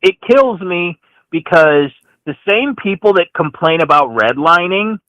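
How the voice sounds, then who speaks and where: Male phone caller from the American Midwest, sharp, higher-pitched, casual and opinionated political talk. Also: animated, measured pace, no room sound, muffled recording. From St. Louis, United States. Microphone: phone call.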